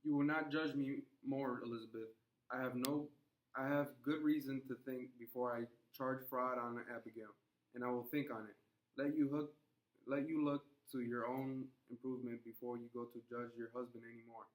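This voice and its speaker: A man's voice: mid-range voice, fairly even intonation, normal speed, natural voice, rough recording. A man reading the part of a proud, defensive Puritan husband in a play, stern and exasperated. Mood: indignant. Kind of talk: classroom play read-aloud.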